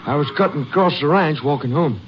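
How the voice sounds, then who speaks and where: A man's voice: a little high, a little fast, faint music behind, close to an everyday voice. A man playing a plain-spoken, matter-of-fact Texas rancher in a 1950s radio drama. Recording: dull, faintly noisy old radio recording.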